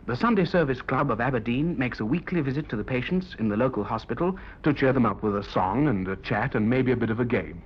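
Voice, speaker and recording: A man's voice: mid-range voice, fast, hardly any pauses, highs cut off, slight background noise. A young man presenting a scripted 1950s youth radio programme, on an old broadcast recording. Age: young adult.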